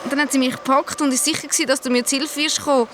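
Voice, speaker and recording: Injured young companion female actor: frank Swiss German, very high voice, rapid delivery, noisy radio-drama ambience. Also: steady tone, close to an everyday voice. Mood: earnest, explaining. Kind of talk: radio play acting. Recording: clear ambient noise behind.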